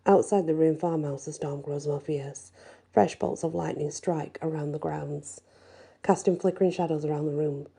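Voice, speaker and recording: A woman reads a fantasy tale in a very dark, mellow voice with a breathy husk. Her tone sits low and creaks into fry at times. She tells it softly and expressively, ASMR-style. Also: steady tone, moderate pace. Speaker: storyteller reading a fantasy audiobook. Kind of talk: ASMR-style first-person dramatic story narration.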